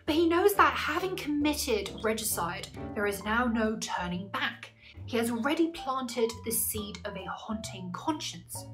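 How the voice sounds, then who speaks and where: Female tutor, twenties, slightly high voice, articulate and instructive scripted explainer over music. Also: animated, a little slow, fairly bright tone, low-quality recording. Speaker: English literature tutor. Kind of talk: scripted educational explanation to camera. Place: small indoor room, plain wall.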